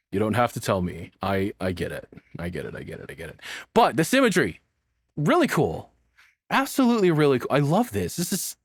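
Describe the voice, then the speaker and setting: Expressive, sweary man reacting casually. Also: mid-range voice, no echo, clean studio sound. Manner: profane, rambling, expressive. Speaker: streamer reacting to a video.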